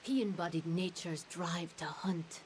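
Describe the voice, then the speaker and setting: A woman acts out a solemn fey herald for a fantasy game. Her voice sits somewhat low and she speaks with formal, sorrowful gravity. There is clear ambient noise behind her. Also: fairly even intonation, natural voice. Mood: grave, warning. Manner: grave, measured, formal storytelling.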